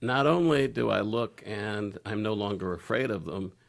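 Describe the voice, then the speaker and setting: Deep gravelly man, halting. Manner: halting, searching for words. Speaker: podcast guest. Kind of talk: candid personal conversation.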